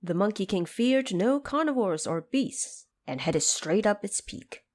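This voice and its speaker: A woman narrating a scripted audio drama as an expressive, dramatic storyteller. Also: medium pitch, unhurried.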